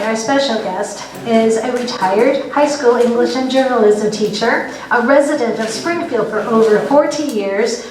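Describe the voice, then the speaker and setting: A woman reading a formal introduction in a measured, even way, her voice a little high. She is heard from far off in a large, echoing museum room. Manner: measured, reads a list of credits. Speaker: event presenter introducing the guest host. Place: large indoor museum gallery. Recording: echoey hall, voice from afar.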